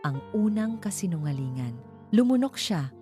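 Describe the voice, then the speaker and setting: A woman's voice: medium pitch, big pitch swings, moderate pace, mellow. A woman with a Filipino accent reading a dramatic story in a scripted storytelling style. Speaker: story narrator. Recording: music behind the voice. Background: clear background music.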